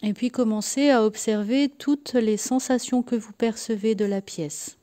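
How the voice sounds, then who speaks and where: Female relaxation guide, dark mellow timbre, soft and at times breathy, very slow soothing delivery with long pauses. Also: a little high. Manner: soothing, unhurried, with long pauses between short phrases. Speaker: guided meditation and sophrology practitioner. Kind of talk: guided yoga nidra relaxation.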